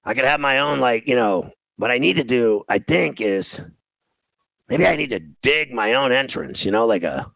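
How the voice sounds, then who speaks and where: A man in his fifties with a gravelly, fry-laden voice, talking as a show host. He rambles in a casual, exasperated way, poking fun at himself with slangy griping. The sound is close and very dry. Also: mid-range voice, lively intonation, normal speed, fairly bright tone, no room sound, low-quality recording. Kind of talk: casual rambling comic rant.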